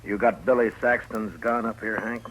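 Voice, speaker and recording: Man acting a deep-voiced, terse frontier marshal. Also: fairly even intonation, moderate pace, a bit bright, close to an everyday voice. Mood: stern, probing. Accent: American. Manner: terse, authoritative, dry. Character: stern, dry-witted frontier US marshal in a western. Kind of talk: 1950s radio drama acting. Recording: noisy vintage 1950s radio recording.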